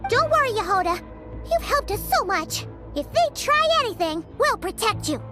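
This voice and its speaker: A woman's voice: extremely high pitch, very animated, normal speed. A woman voicing a tiny, childlike fairy companion in an anime-style game, chirpy, loyal and given to startled exclamations. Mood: reassuring. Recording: prominent music underneath.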